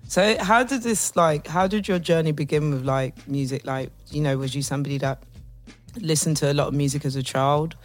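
A man's voice: a little high, a little fast, soft background music. A male radio host interviewing a guest, enthusiastic and playful with lots of "like" fillers.

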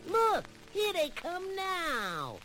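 A woman's voice: very high-pitched, wildly swooping pitch, very slow, pushed and bright, heavily acted, poor audio. A voice actor playing a brash, teasing male cartoon rabbit on an old children's story record, with music playing behind. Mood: comforting. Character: wisecracking male cartoon rabbit, cheeky and friendly.

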